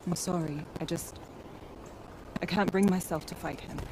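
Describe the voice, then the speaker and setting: A woman's voice: on the low side, steady tone, normal speed, noisy background, lightly acted. A woman playing a weary, sardonic young fighter in a video game, naturalistic, dry and earnest in delivery. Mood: regretful.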